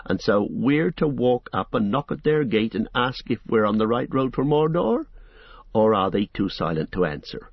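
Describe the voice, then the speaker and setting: A man's voice: mid-range voice, big pitch swings, moderate pace, gravelly, husky, no room sound, highs cut off, exaggerated voice. A man voicing a blunt, skeptical young male servant who voices his doubts plainly.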